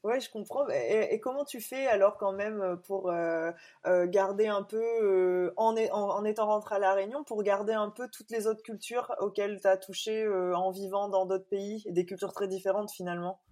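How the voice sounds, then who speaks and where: A woman's voice: mid-range voice, fairly even intonation, moderate pace, warm tone. A woman hosting a podcast interview, asking long questions full of hesitations and fillers. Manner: hesitant, many fillers, friendly laughs. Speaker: podcast host.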